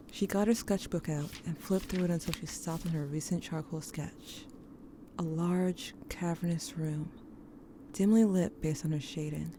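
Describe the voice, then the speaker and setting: A woman narrating as an adult looking back on childhood, quiet and often close to a whisper. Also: fairly low pitch, noisy background. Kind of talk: first-person narration in audio drama.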